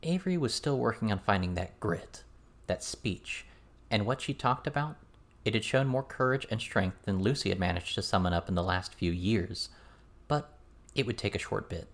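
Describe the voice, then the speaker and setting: A man's voice: lowish voice, lively intonation, normal speed. A man reading scripted fiction as an audiobook narrator.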